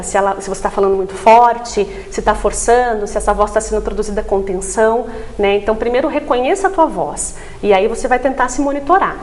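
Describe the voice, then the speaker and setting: A woman's voice: fairly high pitch, darkish tone. A woman explaining things didactically as a specialist guest in a TV interview, often adding short tag questions. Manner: didactic, frequent tag questions seeking agreement. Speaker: voice therapist guest.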